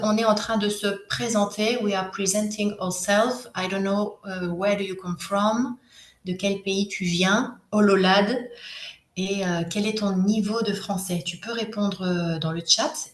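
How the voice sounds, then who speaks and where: A woman's voice: medium pitch, echoey room. A French woman in her thirties teaching a live online workshop, switching between French and English, patient and encouraging with many hesitations.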